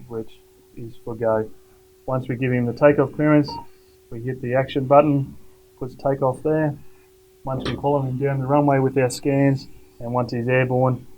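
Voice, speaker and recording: A middle-aged man giving a matter-of-fact, informal technical demonstration, with hesitant pauses. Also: mid-range voice. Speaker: air traffic tower supervisor. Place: indoors, glass-walled control tower cab. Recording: dull sound, faint room noise.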